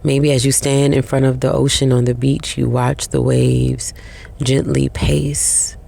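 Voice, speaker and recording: A woman leading a guided meditation in a slow, soothing way, with long pauses between short phrases. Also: rumbling low, little pitch movement, gravelly, mellow.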